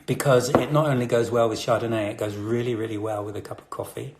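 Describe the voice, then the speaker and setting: A man's voice: medium pitch, fairly even intonation, fast, a little mellow. A man from Britain, a chocolatier hosting a casual live chat, talking in a chatty, slightly nervous way with many fillers. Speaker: chocolatier host. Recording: roomy echo.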